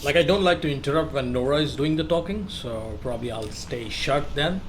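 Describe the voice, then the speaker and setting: A man's voice: mid-range voice, a little fast, a little hiss or hum. A man giving casual, informal walkthrough commentary as a gameplay host, explaining as he goes.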